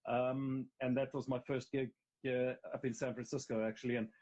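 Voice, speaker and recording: A man's voice: mid-range voice, little pitch movement, moderate pace, a little mellow. South African man, candid rambling storyteller. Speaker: guest actor. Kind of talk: casual interview talk. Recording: slightly dull, lo-fi sound.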